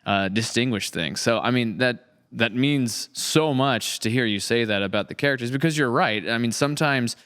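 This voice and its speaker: A man's voice: medium pitch, moderate pace, fairly bright tone, clean tone. A man hosting a podcast in casual conversation, talking in an enthusiastic, rambling and appreciative way. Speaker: podcast host, audio drama creator.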